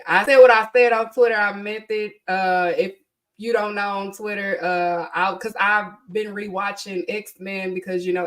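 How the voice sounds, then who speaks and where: A woman in her thirties hosting a podcast in casual solo chat, rambling with lots of ums and asides, her voice a bit congested from allergies. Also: mid-range voice. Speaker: podcast host.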